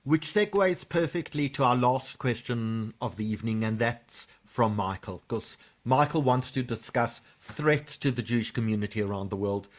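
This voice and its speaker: A man's voice: medium pitch, expressive, moderate pace, few pauses. A man moderating a panel, introducing the final question of the evening.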